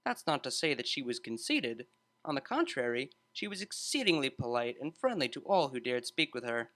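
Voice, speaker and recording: A man's voice: medium pitch, big pitch swings, moderate pace, bright, forceful tone. A man reading a scripted story aloud as an audiobook narrator.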